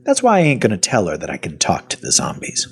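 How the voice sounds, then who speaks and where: Wry, cynical half-zombie survivor played by a man, husky voice, animated swings in pitch, first-person audio drama. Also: fast, full character voice. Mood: matter-of-fact, wry. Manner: sardonic, colloquial. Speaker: voice actor and narrator. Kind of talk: first-person audio fiction narration and dialogue.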